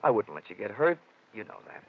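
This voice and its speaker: An American actor playing a wisecracking hard-boiled insurance investigator in 1940s radio detective style, with wry, clipped delivery. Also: male voice, fairly low pitch, big pitch swings, a little fast, slightly rough, heavily acted. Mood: flirtatious. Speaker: lead actor. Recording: tinny, noisy vintage radio recording.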